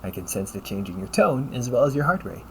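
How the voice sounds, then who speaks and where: A man playing a polite, caring, gently teasing AI companion in an intimate audio roleplay, speaking formally to the listener. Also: medium pitch, big pitch swings, a little fast, low-quality recording. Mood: playful teasing. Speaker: voice actor. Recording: noticeable background noise.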